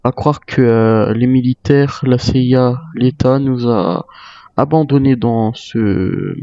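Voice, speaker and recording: A man's voice: mid-range voice, slow pace, mellow. A man improvising a survivor roleplay in a game, hesitant and musing aloud to himself as a weary retired soldier. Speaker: gamer role-playing a survival game. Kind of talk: improvised in-character roleplay monologue. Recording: dull, roomy microphone sound.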